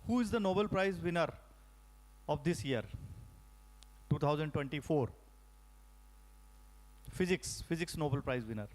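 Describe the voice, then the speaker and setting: Indian English man, middle-aged, high voice, halting lecturer with many fillers. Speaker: faculty trainer. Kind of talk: lecture to teachers.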